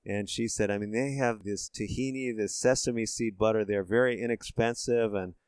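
A man's voice: on the low side, fairly even intonation, normal speed, darkish tone, clear, resonant tone, no room sound. Man, talk show host, friendly leading questions, resonant voice, dry studio sound. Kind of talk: conversational interview hosting.

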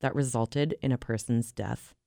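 A woman with a very low, smooth, resonant voice narrates a news feature. Her delivery is measured and even, recorded close and dry. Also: no room sound.

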